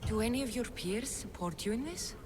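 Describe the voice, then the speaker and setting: A woman's voice: fairly high pitch, a little fast, darkish tone, in a large hall, slight background noise, lightly acted. An actress playing a bold, determined female adventurer who asks direct questions. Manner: direct and inquisitive. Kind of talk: game cutscene acting.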